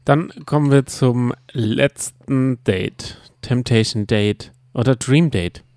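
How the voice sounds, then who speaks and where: A man's voice: mid-range voice, slow, darkish tone, no room sound. Guy chatting on a podcast, mid-range voice, very dry recording. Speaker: podcast co-host. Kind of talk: casual chat about reality TV.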